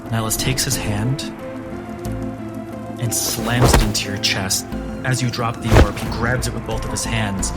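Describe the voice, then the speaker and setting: A man acting as game master, narrating events to the players in measured second person. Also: medium pitch.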